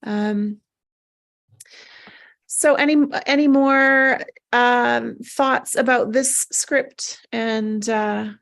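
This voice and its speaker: A woman with a slightly high voice and plenty of creak hosts an informal group chat. She speaks casually and bluntly. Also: steady tone, drawn-out words, fairly bright tone. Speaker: host of table read.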